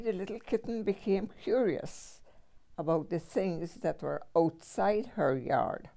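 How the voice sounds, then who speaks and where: An older woman reading a children's storybook aloud as a great-grandmother, with expressive storyteller phrasing. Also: fairly low pitch, gravelly.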